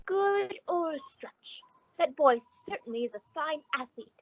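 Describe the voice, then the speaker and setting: Woman acting a swooping, high-voiced fussy landlady. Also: expressive, a little slow, no echo. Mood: chatty. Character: fussy, chatty, good-natured landlady. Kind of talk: old-time radio comedy acting. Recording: dull, muffled audio.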